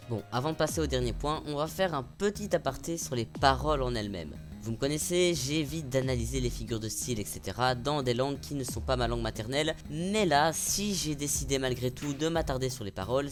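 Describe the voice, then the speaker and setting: A man with a voice of ordinary pitch talks through a stage musical in a scripted review. He is opinionated and sarcastic, and he breaks into heated outbursts now and then. Faint music plays underneath. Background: faint background music.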